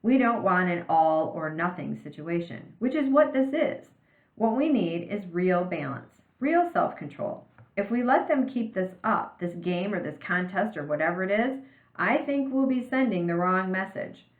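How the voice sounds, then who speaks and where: A woman voicing a decisive, stern female school principal in a storybook, speaking with measured authority. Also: mid-range voice, lively intonation, reverberant, narrow, tinny audio, close to an everyday voice. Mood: firm.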